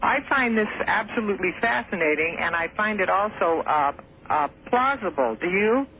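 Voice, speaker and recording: High-voiced male caller on a tinny phone. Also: expressive, moderate pace. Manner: hesitant, enthusiastic, rambling. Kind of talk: call-in question. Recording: tinny phone call with clear background hiss.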